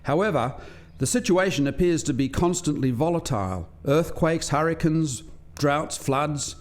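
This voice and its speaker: An actor playing an articulate alien scientist in a radio play, explaining things precisely as if lecturing a visitor. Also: male voice, fairly high pitch, expressive, measured pace. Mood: explanatory.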